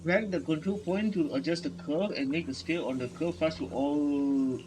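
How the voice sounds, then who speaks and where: Higher-pitched man reacting to a game. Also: moderate pace, noisy background. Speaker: gaming streamer. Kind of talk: casual game reaction commentary. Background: game music.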